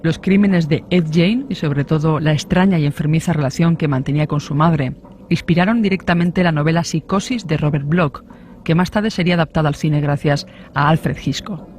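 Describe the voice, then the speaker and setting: A man narrates a true-crime radio drama in a fairly high voice. A faint noisy ambience sits behind him. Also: moderate pace, darkish tone.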